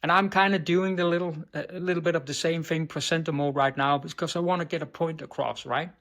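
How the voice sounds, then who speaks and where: A man's voice: on the high side, steady tone, fairly quick, a bit bright. Blunt profane Danish man coaching. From Denmark. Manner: blunt and profane, keeps adding "right?"; stumbles and restarts words, unbothered. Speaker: business coach. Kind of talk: direct-to-camera monologue.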